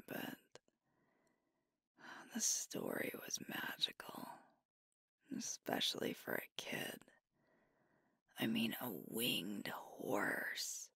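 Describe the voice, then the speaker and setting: A tender werewolf girlfriend, acted by a woman, shares nostalgic stories in a breathy whisper for an ASMR roleplay. The delivery is slow and intimate, crackling with fry, and it sounds close and dry. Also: a bass voice, dry recording, full character voice. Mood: wistful. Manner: gentle, unhurried, intimate storytelling.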